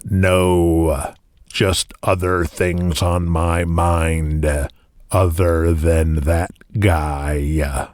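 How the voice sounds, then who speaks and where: An actor playing a terse, preoccupied, weary wrestling promoter in an audio drama, speaking in a laconic, hesitant way. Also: male voice, sits low, little pitch movement, drawn-out words, darkish tone, no echo. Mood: distracted.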